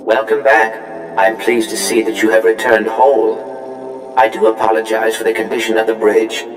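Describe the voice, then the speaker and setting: A man's voice: fairly low pitch, monotone, normal speed. A man voicing an unflappable, servile protocol droid in a video game, speaking formally and courteously through a robotic filter. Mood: unruffled. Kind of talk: scripted video game dialogue. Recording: echoey, music underneath. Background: game music.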